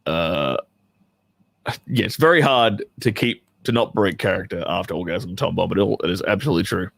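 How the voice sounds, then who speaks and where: A man's voice: low voice, normal speed, vocal fry, fairly bright tone, no room sound. A man who performs in adult films hosting a casual livestream, talking to his chat in a rambling, profane, joking way.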